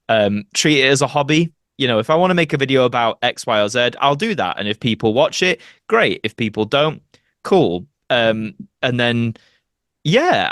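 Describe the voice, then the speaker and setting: A man's voice: mid-range voice, fairly bright tone, no echo. Young guy rambling casually in an interview, kinda self-deprecating, dry recording. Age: young adult. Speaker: podcast guest, actor and video creator.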